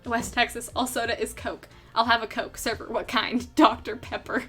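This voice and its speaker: A woman's voice: on the high side, little pitch movement, normal speed, fairly bright tone, breathy edge. A young woman streaming her art, chatting casually with viewers in a rambling way, full of fillers and frequent laughter. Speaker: artist streamer. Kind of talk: casual livestream chat with viewers. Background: faint background music.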